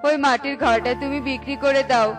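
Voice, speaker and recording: Casting: greedy bully crow for a cartoon, high-pitched, sly and taunting, played by a woman; light music behind. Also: steady tone, brisk, natural voice. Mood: wheedling. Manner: wheedling, taunting. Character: scheming, greedy male crow, smooth-talking bully. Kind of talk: cartoon character voice acting.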